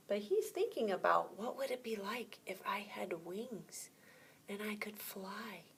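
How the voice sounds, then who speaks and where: Slow-paced woman reading to preschoolers. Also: mid-range voice. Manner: explains words simply and asks the listener questions. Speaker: preschool teacher. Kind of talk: read-aloud storytime with asides and questions to young children.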